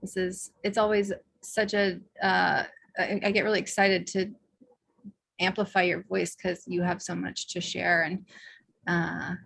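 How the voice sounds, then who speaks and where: A woman co-hosting an online panel while losing her voice, talking in a warm, effusive, rambling way on a video call mic. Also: lowish voice, flat delivery, a little slow, gravelly. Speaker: event co-host. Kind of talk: casual online panel talk.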